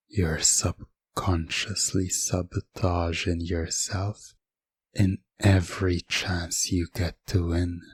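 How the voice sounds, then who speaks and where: A man narrating a scripted hypnosis ASMR story in a hushed, suggestive way with dramatic pauses. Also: sits low, slightly rough, no room sound.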